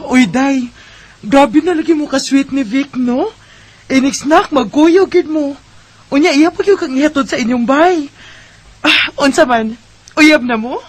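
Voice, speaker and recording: A woman's voice: sits high, unhurried, no room sound. A woman playing a teasing, nosy young best friend in a Bisaya Filipino radio romance, drawing out her words. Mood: teasing, prying.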